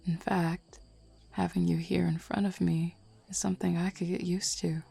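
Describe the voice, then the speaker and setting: Woman voicing a low, gravelly, flirty female werewolf. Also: level tone, mellow, full character voice. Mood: flirty, confident. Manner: flirtatious, unhurried teasing. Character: flirty, teasing, self-assured female werewolf of a pack. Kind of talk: intimate roleplay audio acting.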